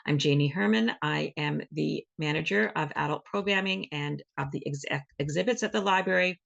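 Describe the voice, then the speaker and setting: A woman opening an online library event as host, friendly and a little halting, with many fillers. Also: fairly low pitch, creaky, a bit bright, husky. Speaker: host, library program manager. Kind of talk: event introduction. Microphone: video call.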